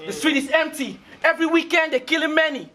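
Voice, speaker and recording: A man's voice: very high-pitched, quick pace, sharp tone. A man performing battle rap verses in a boastful, taunting way. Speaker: battle rapper.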